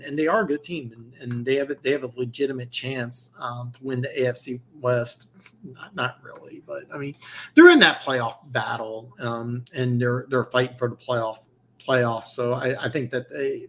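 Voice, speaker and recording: A man's voice: mid-range voice, a bit bright. A man hosting a live football picks stream in a casual, rambling way, losing his voice. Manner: rambling, many restarts and fillers. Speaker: host of a football picks show.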